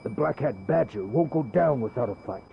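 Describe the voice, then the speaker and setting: An actor playing a commanding soldier who urgently plans the next move. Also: male voice, mid-range voice, animated, a little fast, vocal fry, muffled recording, noisy background. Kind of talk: video game cutscene acting.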